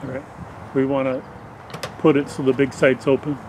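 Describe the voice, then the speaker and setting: A man's voice: medium pitch, flat delivery, normal speed. A man giving plain, matter-of-fact instructions while he and a helper work a beehive in a backyard, recorded by a camera set at a distance. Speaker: beekeeper directing a helper. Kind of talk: casual instructions while working. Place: backyard beeyard outdoors. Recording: faint outdoor background noise.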